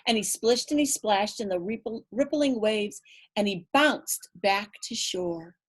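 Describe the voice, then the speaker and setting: A woman storyteller reading a picture book to children, playful and rhythmic, with chatty asides. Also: mid-range voice. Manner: playful, drawn-out, rhythmic delivery. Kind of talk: animated picture-book read-aloud with asides to young listeners.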